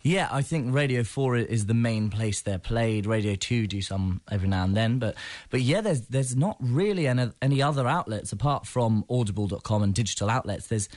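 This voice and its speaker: A man's voice: mid-range voice, expressive, fairly quick, hardly any pauses, darkish tone, no room sound. A young man with an English accent, answering casually as a guest in a radio interview. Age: young adult. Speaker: theatre director, interview guest. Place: radio studio.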